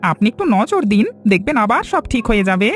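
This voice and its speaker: A man's voice: high-pitched, very animated, brisk, fairly bright tone, soft background music. Man acting swooping, gossipy village schemer. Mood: fawning. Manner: gossipy, obsequious, exclamatory. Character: scheming, fawning middle-aged male village informer. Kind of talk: acted cartoon story dialogue.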